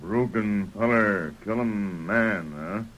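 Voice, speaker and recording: An actor playing a stoic, loyal male guide in a radio western, speaking in terse, halting broken English. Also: fairly low pitch, fairly bright tone. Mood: calm, helpful. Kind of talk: 1940s radio western acting. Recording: noisy old radio recording.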